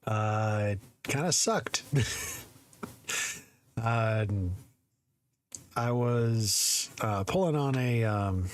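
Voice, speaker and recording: Host type: man with a low, slightly hoarse voice, loose and rambling, lots of ums. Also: very slow, long pauses. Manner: rambling, hesitant, many fillers, chuckles. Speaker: storytelling channel host. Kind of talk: casual chat before a story reading.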